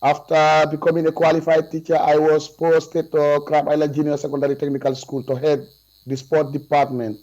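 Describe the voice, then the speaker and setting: A man in his forties with a Gambian accent gives testimony over a video call, answering in a formal, deliberate way. His voice sits a little high for a man. The sound is dull and muffled, with a low mains hum behind it. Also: little pitch movement, darkish tone. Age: 40s. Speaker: witness.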